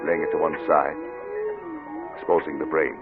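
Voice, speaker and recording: A man acting a troubled, obsessive middle-aged doctor and father in an old radio drama, with grave, formal, deliberate delivery. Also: rumbling low, level tone. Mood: grim, clinical. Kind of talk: 1940s radio drama acting with first-person narration. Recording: muffled old radio recording with music.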